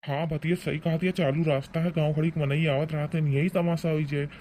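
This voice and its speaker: A man's voice: fairly high pitch, steady tone, brisk, a little mellow, slight background noise, natural voice. A man playing a blustering, defensive old village husband in a rural comedy cartoon, scolding and rambling in an Awadhi accent. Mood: confiding, wary. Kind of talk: rustic comedy cartoon acting.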